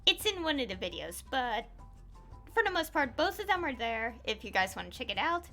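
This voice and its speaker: A woman with a high, bright voice talks casually over a game as its streamer. Her pitch moves around in a lively way as she rambles through explanations and corrects herself. Faint music plays behind her. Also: fairly quick. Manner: rambling, self-correcting explanations with repeated reminders. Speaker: gaming video creator and streamer. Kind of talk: casual pre-recorded gameplay commentary.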